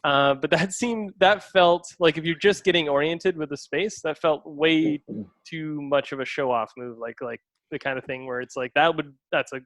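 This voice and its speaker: Male director, behind-the-scenes commentary, slightly high voice, dry close sound. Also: normal speed, fairly bright tone, no room sound. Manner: enthusiastic, explains choices, frequent fillers.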